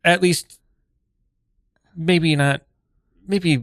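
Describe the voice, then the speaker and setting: A man's voice: a little high, fairly even intonation, drawn-out words, fairly bright tone, no room sound, studio quality. A man bantering casually as an improv panelist, deferential and inclined to repeat himself.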